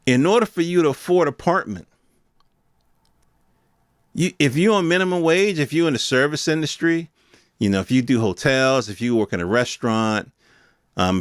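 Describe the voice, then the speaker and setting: Clear-voiced man on a casual rant. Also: mid-range voice, fairly bright tone, no echo, clean studio sound. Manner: informal street slang with swearing; rhetorical questions addressed to listeners. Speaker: podcast host. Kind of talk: casual unscripted opinion rant.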